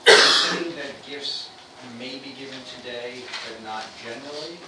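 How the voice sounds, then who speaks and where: A man's voice: mid-range voice, moderate pace, heavy background noise. A man in a Bible class asking the teacher questions and thinking aloud, heard from a distant mic in an echoey room. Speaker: class participant.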